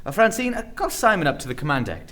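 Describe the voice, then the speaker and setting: An actor playing a roguish, good-humored starship commander in a sci-fi radio play, with folksy, hesitant speech. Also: male voice, a little high, very animated, fast, bright, forceful tone. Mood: mock-stern.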